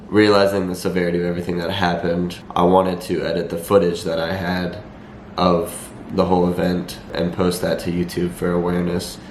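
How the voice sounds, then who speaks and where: A young man speaks in a low voice that is slightly raspy from a cold. He explains things to viewers in a matter-of-fact vlog style with little change in pitch. The room is echoey, with a faint noise behind him. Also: completely flat delivery, a little fast. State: has a cold. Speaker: vlogger. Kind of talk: talking to camera, explanatory vlog.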